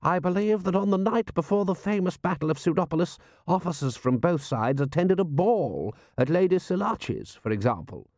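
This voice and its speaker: Guy doing a stuffy posh army lord for an audiobook, clipped, looks down on everyone, raised pitch. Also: expressive, normal speed, few pauses, a little mellow, no echo, studio quality. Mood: haughty. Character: pompous, stiff-smiling aristocratic male army lord.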